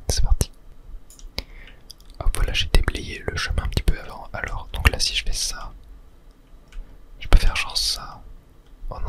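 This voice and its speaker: Someone whispering softly and breathily, thinking aloud in a casual ASMR gameplay commentary. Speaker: video game streamer narrating play.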